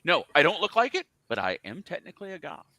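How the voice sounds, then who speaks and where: A man co-hosts a casual music podcast and gives blunt, sardonic takes. His pitch swings widely as he talks. His voice is bright and the sound is dry. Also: wildly swooping pitch, fast, dry recording. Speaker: podcast co-host.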